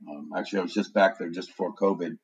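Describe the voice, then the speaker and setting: Deep voiced guy from new hampshire, forties, rambling interview chat, dry close sound. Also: fairly even intonation, fast, slightly rough, no echo. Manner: rambling, many ums. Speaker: guest.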